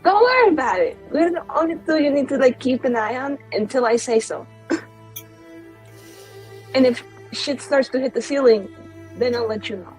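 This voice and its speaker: A woman's voice: high voice, lively intonation, normal speed, pauses often, poor audio. A woman improvising casual, colloquial in-character banter as a player in a tabletop roleplaying game. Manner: colloquial, rambling, jokey. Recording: music playing behind the voice. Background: background music.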